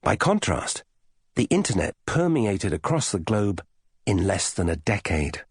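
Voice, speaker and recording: Husky low-voiced male audiobook narrator. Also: very animated, unhurried, dry recording, studio quality. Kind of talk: scripted non-fiction audiobook narration.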